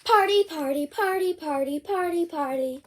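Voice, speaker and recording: A young female reader voicing an excitable young pig from a storybook, exclaiming emphatically as she reads aloud. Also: extremely high pitch, moderate pace, a little hiss or hum, pitched above the performer's own voice. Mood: gleeful. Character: excitable young piggy, eager for a party.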